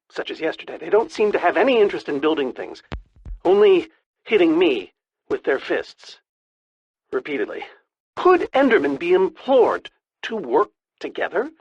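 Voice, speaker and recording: An eccentric middle-aged scientist for a video game. His voice sits a little high and swoops widely through pompous, wordy phrasing. He sounds like a lonely, excitable scholar, recorded close and dry. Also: no room sound, lo-fi sound. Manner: theatrical, verbose, pompous scholarly diction. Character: eccentric, lonely, excitable male researcher obsessed with studying creatures. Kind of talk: animated video game voice acting.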